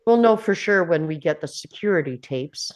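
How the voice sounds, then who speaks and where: A woman's voice: fairly low pitch, animated, moderate pace, darkish tone, close to an everyday voice. A woman at a script table read playing a composed female intelligence officer. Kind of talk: dramatic script reading.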